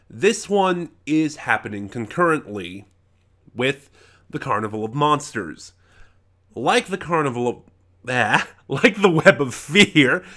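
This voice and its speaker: American male reviewer, mid-pitched voice, informal and unscripted, wandering delivery with self-corrections. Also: sharp tone. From United States. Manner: conversational, rambling with self-corrections. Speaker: audio drama reviewer. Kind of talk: casual unscripted review commentary.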